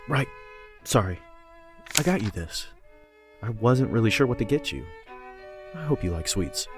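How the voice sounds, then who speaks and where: A man playing a shy, hesitant but kind young admirer in an audio drama, casual and low-key. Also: animated, normal speed, close to an everyday voice. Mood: awkward, kind. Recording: music behind the voice.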